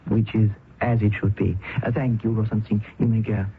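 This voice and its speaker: A man's voice: lowish voice, little pitch movement, moderate pace, soft background music, close to an everyday voice. An actor playing an idealistic young male doctor who speaks earnestly and with passion in a radio drama. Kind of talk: 1970s radio drama acting. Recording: tinny old radio broadcast, faint hiss.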